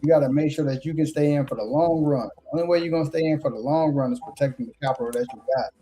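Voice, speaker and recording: A man's voice: a little high, rapid-fire, mellow, slight background noise. A man in his thirties hosting a live trading show, coaching viewers in a casual, slangy way. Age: 30s.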